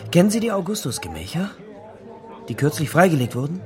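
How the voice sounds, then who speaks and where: An actor playing a talkative, self-assured male archaeologist in a German radio crime drama, with a suave, animated manner. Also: fairly high pitch, a little fast, a faint crowd. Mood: suave, confiding.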